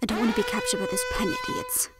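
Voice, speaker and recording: A woman's voice: very high voice, sing-song, fast talker, over loud music. A woman playing a proud, frustrated villainous fae in an audio roleplay drama, speaking in a dramatic, exasperated way. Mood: urgent. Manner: exasperated, dramatic, sharp-tongued.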